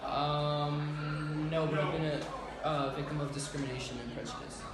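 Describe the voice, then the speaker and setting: A young man, interviewed, answering hesitantly with many ums and pauses. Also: fairly high pitch, flat delivery. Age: young adult. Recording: very echoey, distant, crowd noise.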